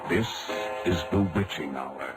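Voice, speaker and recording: A sinister announcer from an old radio horror show. His low voice creeps along, stretching words with menace, while loud music plays over the aged recording. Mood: ominous. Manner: ominous, drawn-out delivery.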